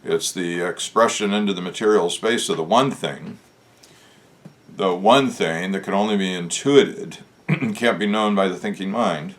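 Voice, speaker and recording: A man with a deep, low voice, a bit hoarse today, thinks aloud at his own pace. He hosts his own talk, reflective and casual, and stops often with "uh" while he gathers his thoughts. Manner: musing, unhurried, with frequent "uh" pauses. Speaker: channel host discussing Masonic lore.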